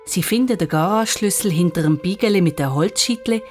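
A woman's voice: fairly low pitch, brisk, music in the background. A woman with a Swiss German accent narrating a scripted children's story.

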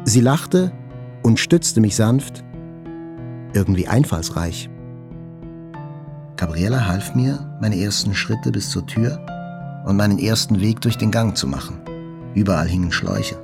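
An actor playing a wounded journalist, narrating his hospital recovery in a measured, reflective literary style. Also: lowish voice, lively intonation, over music. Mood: reflective, tender. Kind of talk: scripted literary narration, radio play.

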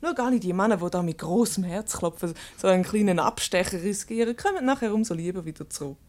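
An actress playing an amused, quick-witted wife in a Swiss German radio comedy, teasing and ironic in manner. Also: female voice, medium pitch, lively intonation, darkish tone, lightly acted. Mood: amused, breezy. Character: amused, quick-witted wife, unruffled by her flirting husband.

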